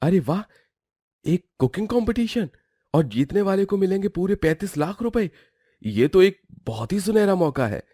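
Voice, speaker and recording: A man's voice: high voice, very animated, normal speed, mellow, dry recording, clean studio sound. A man playing an eager, insistent younger brother in a dramatized moral story. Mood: excited. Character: eager younger brother, excitable and later envious.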